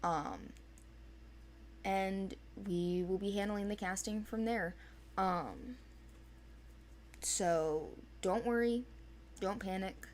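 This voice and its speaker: A woman's voice: mid-range voice, very slow, long pauses. Woman chatting informally, noisy recording. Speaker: casting organizer. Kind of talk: informal unscripted explanation and chat.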